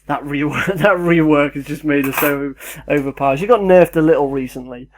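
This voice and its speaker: A man's voice: fairly high pitch, a little fast, a little hiss or hum. A young man, rambling casually and self-deprecatingly in his home kitchen as a YouTuber. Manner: chatty, sidetracked, self-deprecating.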